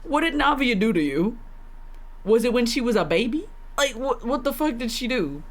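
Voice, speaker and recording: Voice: mid-range voice, big pitch swings, moderate pace. Sweary, slangy game streamer. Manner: profane, slangy, incredulous. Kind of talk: casual live reaction commentary on a game.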